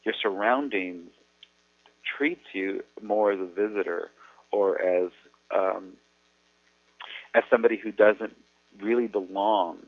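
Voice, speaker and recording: A Mexican American man, an educator, explaining things earnestly in a measured way with thoughtful pauses. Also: deep voice, slightly rough, no room sound. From United States. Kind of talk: explanatory talk on radio. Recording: tinny phone-like radio audio.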